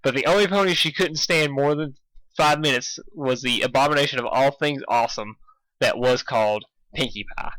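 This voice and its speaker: A man's voice: medium pitch, moderate pace, fairly bright tone, no room sound, low-quality recording. A man reading fan fiction aloud as informal storybook narration, sometimes stumbling and rereading a phrase.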